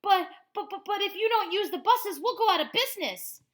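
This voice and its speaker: A woman voicing a flustered, panicky bus company official in a picture book, stammering in loud protest. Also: very high voice, expressive, a little fast, pitched above the performer's own voice.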